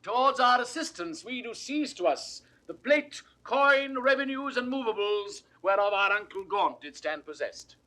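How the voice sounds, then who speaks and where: A man's voice: very high-pitched, lively intonation, moderate pace, hardly any pauses, sharp tone, heavily acted. An actor playing a petulant, callous king, declaiming imperiously in 1960s Shakespearean audio drama style. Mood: brisk, callous.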